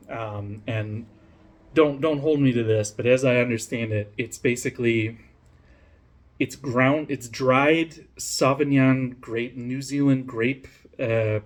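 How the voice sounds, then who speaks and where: A man casually reviewing a beer on camera, rambling with lots of fillers, restarts and swearing, and poking fun at himself. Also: mid-range voice. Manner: rambling, self-mocking, profane, many fillers and restarts. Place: home room, lives in Denmark.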